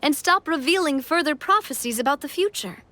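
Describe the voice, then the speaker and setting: Young fantasy game monarch, female: high register, kind, composed, earnest, faint background noise. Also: lively intonation, bright, forceful tone. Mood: gentle, earnest. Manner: earnest, reassuring, measured speech. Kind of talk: English-dubbed game cutscene acting.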